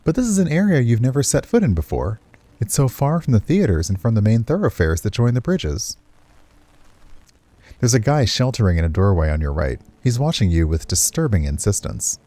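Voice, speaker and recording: A man's voice: mid-range voice, very animated, a little fast, dark tone, no echo. A man narrating a visual novel expressively, with casual commentary as he streams it. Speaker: game streamer.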